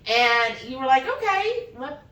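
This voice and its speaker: High-voiced woman storytelling, distant and echoey. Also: measured pace, dull audio. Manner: animated retelling, often quoting dialogue in acted voices. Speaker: vlog host. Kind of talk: casual personal storytelling. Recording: roomy echo, distant microphone.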